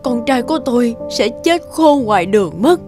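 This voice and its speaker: A woman's voice: fairly high pitch, lively intonation, normal speed, music in the background, close to an everyday voice. A woman voicing a frail, grateful old peasant mother in a cartoon. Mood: tearful gratitude.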